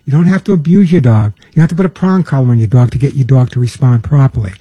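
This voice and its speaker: Older male radio host, mellow dark tone, slightly husky, dry close sound, chatty. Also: mid-range voice, fast, dry recording, dull audio. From New York. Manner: chatty, repeats words, earnest pleading. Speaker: radio pet show host. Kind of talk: casual talk radio monologue.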